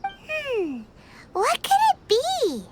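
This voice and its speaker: A squeaky excitable fairy companion. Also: female voice, very slow, heavy background noise, heavily acted. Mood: curious. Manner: chirpy, curious, talks about herself in third person. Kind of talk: game voice acting. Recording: game audio with loud music.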